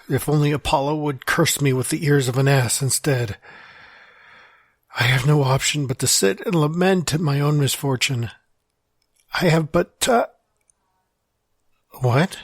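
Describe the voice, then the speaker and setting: A man playing a halting, husky, lonely soul. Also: a little high, no echo, studio quality. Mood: despairing. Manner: halting, stammering, sighing. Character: lonely man trapped alone in his own mind. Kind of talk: audio drama monologue.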